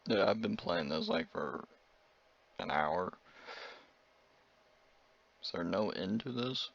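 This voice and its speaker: A man's voice: low voice, animated, slow, creaky, dull audio. A man playing a visual novel, reading its text aloud with casual asides and stumbling over words now and then. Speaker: let's player reading and reacting to a visual novel demo.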